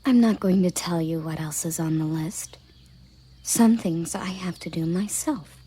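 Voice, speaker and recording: A woman playing a stern, haughty elven guard captain in an audio roleplay, with a soft, breathy, husky voice and a curt, condescending manner. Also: on the low side, unhurried, slight background noise, lightly acted. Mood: annoyed, commanding. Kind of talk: audio roleplay addressed to the listener.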